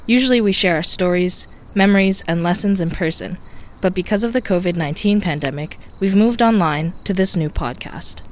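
A woman's voice: on the low side, fairly quick, a little hiss or hum. A woman host reading a scripted podcast introduction in an upbeat way. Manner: upbeat, read-out delivery.

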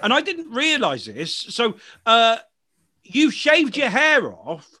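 A man's voice: very high-pitched, huge pitch swings, takes it slowly, sharp tone. A man hosting a podcast over a video call, jokey and chatty, teasing his co-host and laughing often.

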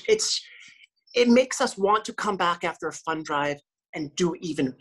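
A woman with a low, husky voice talks at length as a radio host on a podcast. She rambles cheerfully and often restarts her sentences as she tells her stories. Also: animated, normal speed, a bit bright, low-quality recording. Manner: chatty, lots of restarts. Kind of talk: casual interview talk.

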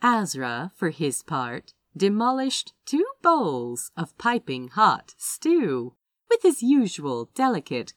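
A woman narrates fiction in a slightly low voice. Her pace is slow and lingering, with dramatic, widely swooping intonation. The sound is dry and intimate, suited to an audiobook. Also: no echo. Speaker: audiobook reader. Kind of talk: expressive scripted fiction narration.

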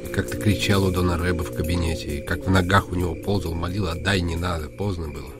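A man's voice: deep voice, steady tone, fast talker, loud music behind the voice, natural voice. An actor playing a weary, stern nobleman with a terse, muted, controlled manner in a radio drama.

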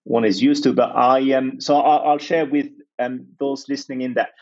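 A man's voice: medium pitch, steady tone, normal speed, dull audio. A man from France, talking in a reflective, hesitant way as a podcast guest, with many restarts and fillers. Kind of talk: reflective conversational interview.

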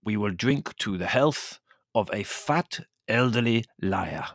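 A male narrator plays a proud Greek manservant from a storybook. He is formal and solemn with a fierce temper underneath. His delivery is emphatic and moves widely in pitch. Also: sing-song, a little slow, fairly bright tone, no echo, clean studio sound. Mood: solemn formality.

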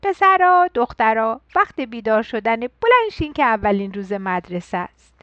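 A woman voicing a loud, bossy father mouse who calls out to his children. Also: a little high, big pitch swings, no room sound, highs cut off. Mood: calling. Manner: loud, calling out.

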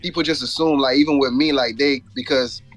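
A man's voice: mid-range voice, steady tone, a little fast, no room sound, faint music behind. A man from Jamaica and Florida chatting casually as a rapper guest in an interview, telling stories in slangy talk with frequent asides. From born in Jamaica, grew up in Florida.

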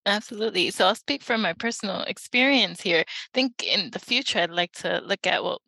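A woman from New York speaking reflectively and personally as a library guest, pausing often. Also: medium pitch, creaky, pushed and bright, breathy edge, no echo. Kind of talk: casual panel talk.

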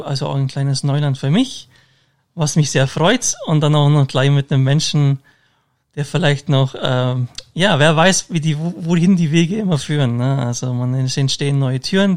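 A man's voice: fairly high pitch, darkish tone. Rambling man hosting a chat. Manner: rambling, with many fillers and restarts. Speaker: podcast host. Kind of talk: casual interview chat.

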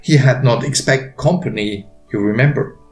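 A man narrating a written story in a deliberate, expressive way with pauses, as an author reading his own work. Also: medium pitch. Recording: roomy echo, faint music behind.

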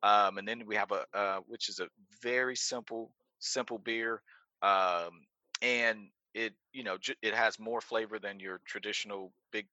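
A man's voice: on the low side, steady tone, a little slow, bright, forceful tone, no echo, highs cut off. A man, a brewery co-founder talking casually in an interview with many restarts. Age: 30s. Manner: rambling, many restarts, laughs easily.

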